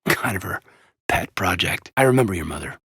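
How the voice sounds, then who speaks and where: Loyal space marine officer, husky, earnest, widely varied intonation, dry close recording, sci-fi game drama. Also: male voice, low voice, lively intonation, bright, forceful tone, dry recording, full character voice. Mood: concerned, subdued. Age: adult. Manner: sincere, earnest, measured. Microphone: close, dry studio voice recording.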